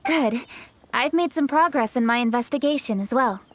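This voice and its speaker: A woman's voice: high voice, normal speed. A woman playing an earnest, determined young investigator in a video game, composed and formal.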